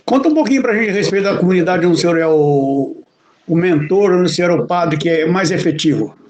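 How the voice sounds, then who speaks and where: A man with a notably high voice hosts an interview. He asks polite, chatty questions and rambles into his own memories. His tone stays friendly and conversational. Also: lively intonation, a little fast, a little mellow, low-quality recording. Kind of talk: interview chat.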